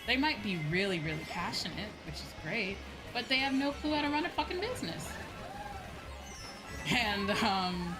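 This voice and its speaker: A woman's voice: medium pitch, very animated, moderate pace, low-quality recording. A woman chatting casually on a livestream as a VTuber, giving opinions with frequent tag questions and some swearing. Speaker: VTuber streamer. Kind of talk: casual livestream chat while gaming. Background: video game music.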